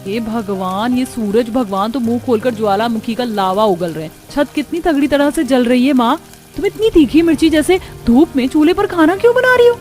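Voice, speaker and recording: A woman's voice: fairly high pitch, lively intonation, a little fast, darkish tone, close to an everyday voice. A woman voicing a whiny, put-upon young bride who complains and exclaims, in a cartoon story.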